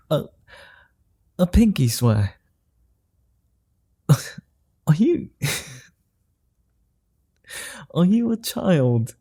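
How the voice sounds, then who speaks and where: Male vampire, gentlemanly and amused, man voicing: extremely slow delivery, very dark and mellow tone, formal old-world phrasing, ASMR roleplay. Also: on the high side, very animated, husky, no echo, clean studio sound, heavily acted. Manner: formal, old-fashioned phrasing with soft chuckles.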